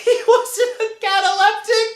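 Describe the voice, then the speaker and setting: A man's voice: very high-pitched, steady tone, normal speed, a bit bright. A man playing a distraught, hysterical young man in a 1960s radio horror drama. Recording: old radio recording, echoey.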